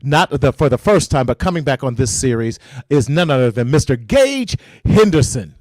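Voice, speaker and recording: A man's voice: medium pitch, very animated, normal speed, darkish tone. A man hosting a casual panel, upbeat and affirming, introducing guests. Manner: upbeat, affirming, "yes sir" interjections. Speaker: host.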